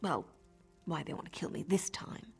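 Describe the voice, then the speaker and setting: A husky, cool female elf assassin from a video game, her voice breathy and often sinking to a half-whisper. Her pitch moves expressively through dry, confident lines. Faint game music plays in the background. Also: very animated, normal speed, exaggerated voice. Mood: hushed, suspicious.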